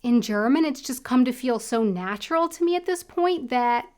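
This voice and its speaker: A woman's voice: a little high, expressive, normal speed, a little mellow. An American woman in her thirties talking to camera in a casual, reflective vlog, thinking aloud with lots of fillers. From United States. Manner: chatty, thinks aloud with many likes and I don't knows. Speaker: vlogger. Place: indoor room at home.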